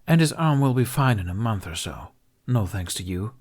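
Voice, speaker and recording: A man's voice: lowish voice, expressive, normal speed, a little mellow, breathy edge. A man voicing a stern alien woman healer from an audiobook story, blunt, scolding and exasperated. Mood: blunt reproach.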